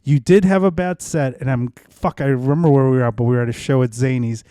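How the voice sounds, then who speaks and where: A man's voice: mid-range voice, fast, warm tone, dry recording. A man hosting a comedy podcast, teasing his guest with dry humor in casual banter.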